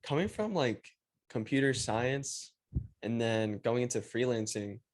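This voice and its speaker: A man's voice: medium pitch, unhurried. A young man hosts a casual chat over a video call from a small room at home, speaking into a stand mic. He rambles easily with plenty of fillers, his English not his first tongue. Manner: relaxed, rambling, many "like" fillers. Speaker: podcast host. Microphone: studio mic on stand, video call.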